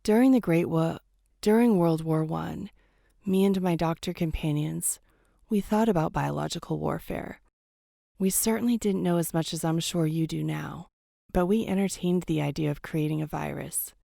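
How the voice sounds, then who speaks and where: A woman acting as an earnest doctor's ghost from a war long past. Her voice is lowish and mellow with plenty of vocal fry, recorded close and dry. She speaks frankly and conversationally, as in a radio drama. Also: moderate pace, dry recording. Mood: grave, confessional.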